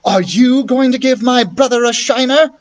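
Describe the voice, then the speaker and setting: A man's voice: very high voice, moderate pace, recorded from a distance, pitched above the performer's own voice. A man voicing a bold, plucky young sister in a storybook reading, speaking in a firm manner. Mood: defiant.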